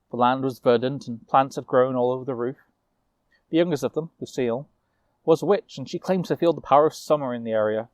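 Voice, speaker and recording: A man telling a fantasy tale in character, moving between informal asides and measured narration. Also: mid-range voice, a little mellow, no echo. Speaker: storyteller.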